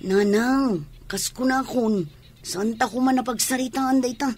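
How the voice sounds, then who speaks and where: Natangken ti ulona a tomboy a balasang, nangato ken paraw ti bosesna. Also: female voice, a little high, unhurried, darkish tone. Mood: defiant, pleading. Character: defiant tomboy daughter pleading with her mother. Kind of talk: Filipino radio drama acting.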